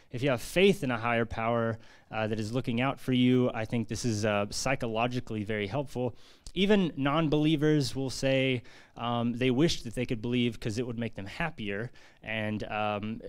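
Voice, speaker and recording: An American man giving a casual, earnest talk at a book launch in a press room, with frequent fillers. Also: medium pitch. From United States. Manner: earnest, conversational with many fillers. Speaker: author presenting his book. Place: press centre conference room.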